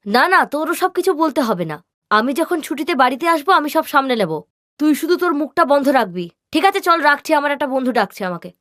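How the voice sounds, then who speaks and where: A woman's voice: high-pitched, lively intonation, fast talker, a bit bright, no echo, clean studio sound. A woman voicing a vain young elder sister in a cartoon, bossy and dismissive, sprinkling in English slang. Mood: defensive, bossy. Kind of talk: cartoon character dialogue.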